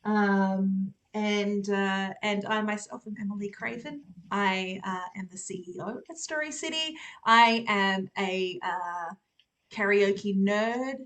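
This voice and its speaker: A woman with an Australian accent hosting a casual live stream, chatty and quick to laugh, with lots of fillers. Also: mid-range voice, a bit bright. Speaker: host.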